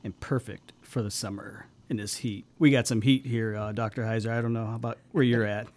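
A man's voice: on the low side, flat delivery, a little fast, a little mellow. A man co-hosting a casual podcast, talkative and enthusiastic. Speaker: podcast co-host.

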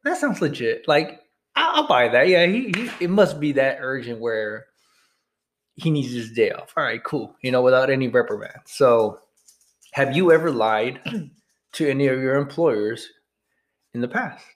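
Male podcast co-host, medium-pitched voice, rambling and frank, casual swearing. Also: expressive, measured pace. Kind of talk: casual chat.

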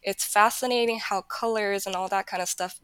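A woman's voice: medium pitch, fairly even intonation, moderate pace, fairly bright tone, smooth, no echo, low-quality recording. Rambling young woman, dry sound. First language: Japanese. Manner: rambling, hesitant, many "like"s. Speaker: podcast guest. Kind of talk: casual chat.

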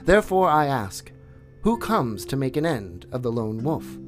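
A man's voice: mid-range voice, big pitch swings, moderate pace, pitched above the performer's own voice, lightly acted. A man voicing an old, weary male wolf who leads the pack, speaking with solemn dignity over faint music.

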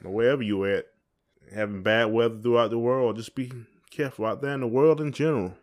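A man's voice: mid-range voice, fairly even intonation, fast, a little mellow. A man with a Southern American accent and a middle-range voice. He co-hosts a casual podcast and talks in a folksy, playful way, rambling as he goes. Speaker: podcast co-host. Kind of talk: casual chat.

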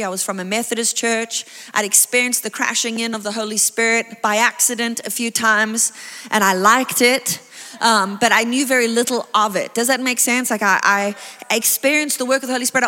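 Bright-voiced South African woman preaching. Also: fairly high pitch. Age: 30s. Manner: animated, chatty, exclaiming. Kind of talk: sermon. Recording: long reverb of a large hall.

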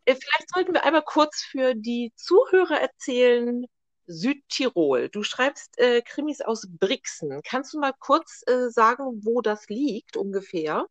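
A woman's voice: fairly high pitch, lively intonation, unhurried, no echo, dull audio. A woman hosting a podcast interview, friendly and hesitant, with many fillers. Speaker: podcast host. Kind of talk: casual interview.

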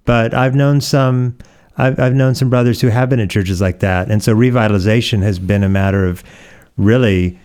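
A middle-aged American man from Oregon, a pastor being interviewed, explaining things conversationally with thoughtful, measured pauses. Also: lowish voice, darkish tone, clean tone. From Oregon, United States.

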